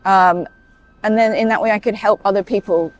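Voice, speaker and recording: A woman's voice: medium pitch, fairly even intonation, moderate pace, faint music behind. A woman with a British accent, a paediatric doctor who grew up in Saudi Arabia, talking freely as an interview guest. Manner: chatty, talkative, many fillers and asides. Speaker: guest, paediatric emergency consultant. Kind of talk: casual interview chat.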